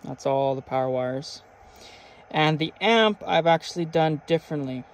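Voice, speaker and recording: Guy with kinda high voice doing a casual vlog walkthrough, noise behind him. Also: moderate pace. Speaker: vlogger showing his vehicle radio setup. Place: outdoors by a truck, then inside it. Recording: clear background noise, vehicle ambience.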